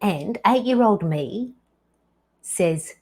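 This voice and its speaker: A woman in her fifties hosting her own show, telling a personal story slowly and deliberately, with dramatic pauses. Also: medium pitch, expressive, warm tone, husky. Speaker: podcast host.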